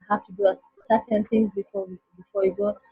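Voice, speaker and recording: Woman participant, very husky, dry muffled call audio. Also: medium pitch, flat delivery, quick pace, no echo. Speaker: course participant. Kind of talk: sharing on a group call. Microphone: video call. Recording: dull dry call audio, faint music behind.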